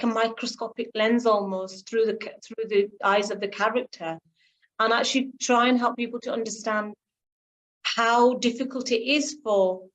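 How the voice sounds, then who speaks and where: A middle-aged woman speaking as a guest author in a radio discussion, earnest and halting, often repeating herself. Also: fairly high pitch, poor audio.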